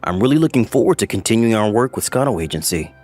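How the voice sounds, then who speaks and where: A man's voice: lowish voice, sing-song, quick pace, a little mellow, soft background music. A man playing a polite, earnest young businessman who narrates his own story in a manga dub. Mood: grateful, eager.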